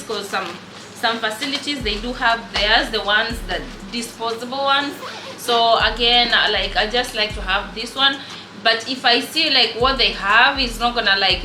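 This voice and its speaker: A woman's voice: fairly high pitch, reverberant, rough recording. A young woman nurse from Kenya with a Kenyan accent, chatting casually and rambling through her work gear with many fillers. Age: young adult. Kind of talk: casual show-and-tell vlog.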